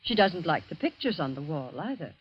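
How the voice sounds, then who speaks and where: Worried middle-aged guardian lady, husky, old radio play, dull muffled recording. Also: deep voice, big pitch swings, a little fast, full character voice. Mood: subdued concern. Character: guardian's wife, soft-spoken, troubled and soothing. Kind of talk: 1940s radio drama acting.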